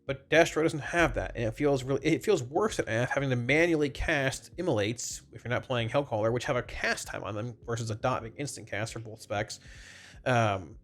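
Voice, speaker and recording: A man with a congested, slightly raspy voice from a cold, giving casual, rambling, explanatory commentary on a video game to his viewers. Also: medium pitch. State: congested, under the weather with a cold. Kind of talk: informal gaming feedback commentary to viewers. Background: background music.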